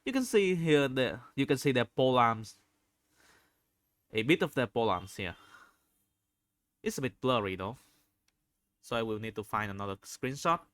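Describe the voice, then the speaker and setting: A man giving unscripted video commentary, halting often as he searches for words and repeats phrases. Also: medium pitch, expressive, a bit bright, no echo, clean studio sound. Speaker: video host reviewing game screenshots.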